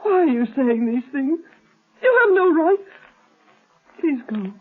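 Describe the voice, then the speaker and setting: A woman's voice: high voice, expressive, a little slow, soft background music. An actress playing a distraught young woman grieving her father in a 1930s radio drama, tearful and pleading. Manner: pleading, shaken. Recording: tinny lo-fi 1930s radio broadcast.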